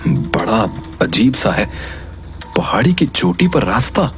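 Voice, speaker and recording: A man's voice: fairly low pitch, sing-song, slow pace, faint music behind. A man voicing an earnest, curious young male reporter in a cartoon story, often thinking aloud. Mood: puzzled, determined.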